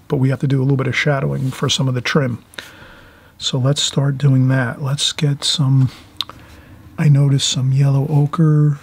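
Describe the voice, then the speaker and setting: A man's voice: medium pitch, gravelly, a little mellow, slightly rough. A man giving an informal watercolor tutorial as the channel's host, rambling a little and often rephrasing himself. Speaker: painting instructor, channel host. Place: indoors at a painting table.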